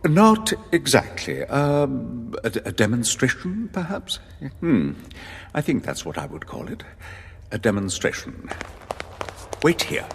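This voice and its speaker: An actor playing a polite, self-satisfied, scheming official in an audio drama, husky and insinuating. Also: male voice, medium pitch, sing-song, unhurried, big-room sound, a little hiss or hum. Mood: coy, pleased.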